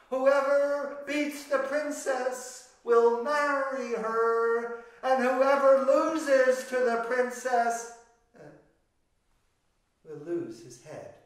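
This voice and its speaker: A man voicing a royal town crier in a storybook tale, shouting out drawn-out proclamations. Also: extremely high pitch, voice pushed up, exaggerated voice. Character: loud royal town crier announcing a deadly race. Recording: echoey room, distant microphone.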